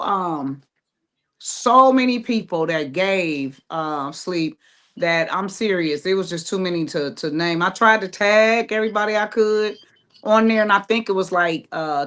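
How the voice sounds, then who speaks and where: A woman guest on a podcast from Ohio, talking in a rambling, halting way with many fillers. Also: mid-range voice, fairly bright tone. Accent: American English, Ohio. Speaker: guest, playwright. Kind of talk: casual interview talk.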